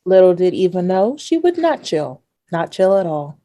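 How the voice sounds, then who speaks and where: Woman as a mellow, low, wry narrator. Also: very animated, moderate pace. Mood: mock-serious. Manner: mock-dramatic, wry. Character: wry, deadpan female storyteller narrator. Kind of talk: scripted comic radio play narration.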